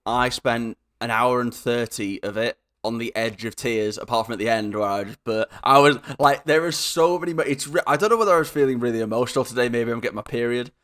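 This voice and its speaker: A man's voice: mid-range voice, fast, few pauses, a bit bright, a little hiss or hum. A young man co-hosting a casual podcast, rambling and laughing as he drifts into tangents. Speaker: podcast co-host.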